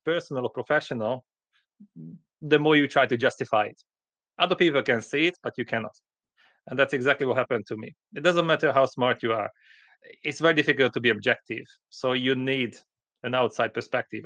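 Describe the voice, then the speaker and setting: A man with a Hungarian accent speaks as a podcast guest in a dry recording with little room sound. His pitch is average, and he tells his story plainly in short, direct sentences. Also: fairly bright tone, no room sound. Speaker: podcast guest, company founder. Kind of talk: conversational interview storytelling.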